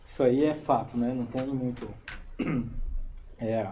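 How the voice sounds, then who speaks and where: A man's voice: mid-range voice, fairly even intonation, unhurried, warm tone. A man from São Paulo state with a Brazilian accent, chatting casually as an interview guest and often adding little checking tags. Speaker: interview guest, army reservist and combatant. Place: radio studio. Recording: dull, noisy studio live stream.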